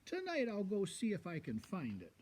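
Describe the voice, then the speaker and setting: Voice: medium pitch, wildly swooping pitch, normal speed, a little mellow, a little hiss or hum. A storybook reader voicing a small, innocent toy bear with a wistful sense of wonder.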